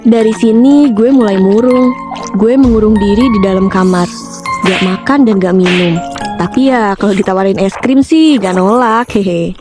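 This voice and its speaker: A young woman narrating a scripted first-person ghost story in casual slang, chatty and expressive, talking straight to listeners. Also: mid-range voice, normal speed. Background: background music.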